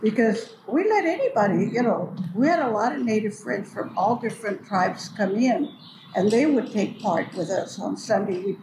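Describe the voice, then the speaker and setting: Older woman reminiscing in an echoey hall. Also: mid-range voice. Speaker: storyteller and community elder recalling memories. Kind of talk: casual oral reminiscence. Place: large echoey hall at a cultural building. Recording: echoey hall with clear background noise.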